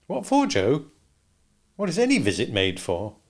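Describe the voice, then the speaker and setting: A man's voice: a little high, huge pitch swings, moderate pace, pitched above the performer's own voice, heavily acted. A man voicing an eager young apprentice speaking earnestly to an older friend.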